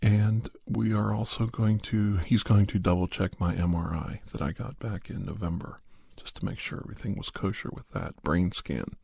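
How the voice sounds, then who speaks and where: A man with a lowish, gravelly voice hosts an ASMR podcast. He explains things informally and without hurry, keeping it hushed and close to the mic. The sound is very dry, with no sense of a room. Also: steady tone, normal speed, hardly any pauses, no echo. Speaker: podcast host. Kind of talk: ASMR, rambling update and story recap.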